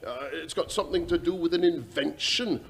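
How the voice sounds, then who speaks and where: A man playing an anxious, folksy station watchman in a radio drama, with a gravelly voice and colloquial speech. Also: fairly high pitch, fairly quick. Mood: anxious, chatty. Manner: colloquial, worried, hesitant. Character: Mister Hogarth, anxious, folksy railway-station watchman, male. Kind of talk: old-time radio drama acting.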